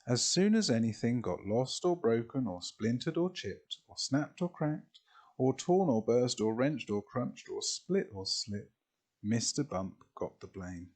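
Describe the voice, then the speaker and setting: Animated man reading a children's story, slightly husky. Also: mid-range voice, measured pace. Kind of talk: expressive storybook narration.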